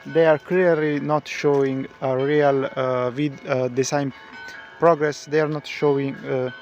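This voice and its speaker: A man whose first language is Italian, commenting casually on a stream for a reaction video, halting and searching for his words. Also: mid-range voice, flat delivery, a little mellow, highs cut off, faint music behind.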